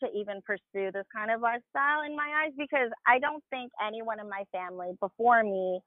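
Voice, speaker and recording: A woman's voice: on the high side, a little fast, no room sound. A woman guest speaks earnestly and candidly about her life as a performer. Her voice sounds thin and phone-like, with a dry, close sound. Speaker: podcast guest, stage actor and singer. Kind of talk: candid personal conversation. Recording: tinny phone-like line.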